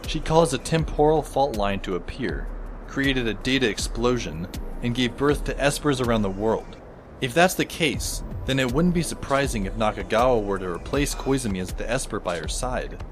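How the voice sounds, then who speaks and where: A man's voice: mid-range voice, expressive, moderate pace, lightly acted. A man playing a wry, reflective teenage boy who narrates his own story in an audio drama. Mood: musing. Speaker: narrator and lead character. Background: music under the voice.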